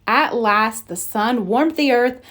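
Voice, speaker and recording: A woman narrates a children's storybook in a fairly high voice. She reads very slowly and deliberately, giving each phrase expressive shape. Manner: expressive, deliberate read-aloud. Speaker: storybook reader.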